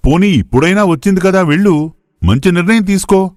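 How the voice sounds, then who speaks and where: A man's voice: high voice, a little fast, darkish tone, dry recording, studio quality, close to an everyday voice. A man playing a wise, patient elderly adviser in a moral story, speaking in a didactic, reassuring way. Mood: encouraging.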